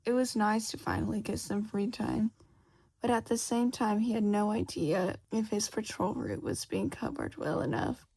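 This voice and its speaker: A woman's voice: medium pitch, gravelly, a little mellow, breathy edge, rough recording. A woman reading a fan fiction story aloud as scripted narration. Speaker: fan fiction audiobook reader.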